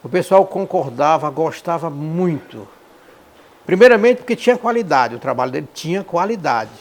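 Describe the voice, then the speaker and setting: Male interviewee: gravelly, slightly high voice, emphatic unscripted recollection. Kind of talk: spontaneous documentary testimony.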